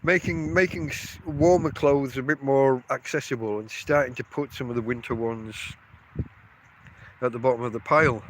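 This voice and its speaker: A man's voice: mid-range voice, normal speed, a bit bright, slight background noise, poor audio. Rambling stuffy-nosed man. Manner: rambling, chatty, self-interrupting. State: congested from a cold. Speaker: podcast host. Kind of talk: rambling solo podcast monologue. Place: outdoors at a tent camp in a field.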